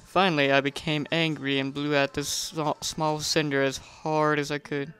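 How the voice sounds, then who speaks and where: A narrator giving a first-person dramatic story reading in an animated way, sometimes stumbling and rereading lines. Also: mid-range voice, normal speed, clean tone, soft background music. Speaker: narrator reading a fan fiction.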